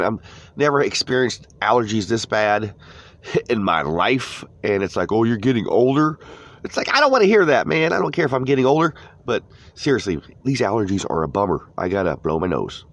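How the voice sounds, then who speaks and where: A man's voice: medium pitch, animated, normal speed, no echo. A laid-back American man with a stuffy nose, chatting casually on a live morning stream from his phone inside an old camper van. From United States.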